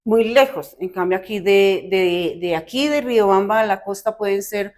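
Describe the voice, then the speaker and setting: Una mujer de Bogotá, con acento colombiano, conversa de forma animada y espontánea como invitada, riendo con facilidad. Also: female voice, mid-range voice, moderate pace. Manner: chatty, animated, laughs easily, self-correcting. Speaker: guest. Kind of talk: casual chat.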